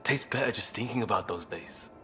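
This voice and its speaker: A man's voice: on the low side, fairly quick, bright, forceful tone, faint music behind. A man playing an easygoing, blunt former cop turned space rescuer in a video game, talking casually and candidly. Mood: nostalgic, easygoing. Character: easygoing, blunt ex-cop human crisis responder, male.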